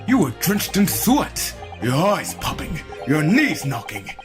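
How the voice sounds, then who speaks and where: A composed young lawyer offers wry encouragement in a smooth, lilting voice, as a woman reads him from a game. Clear game music runs underneath. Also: low voice, big pitch swings, measured pace, pitched below the performer's own voice. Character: confident young male lawyer friend, calm and wry.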